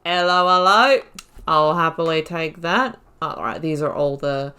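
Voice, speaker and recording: A woman's voice: sits low, unhurried, pushed and bright, smooth. A woman doing casual playthrough commentary, reading dialogue choices aloud and musing to herself. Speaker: gaming YouTuber.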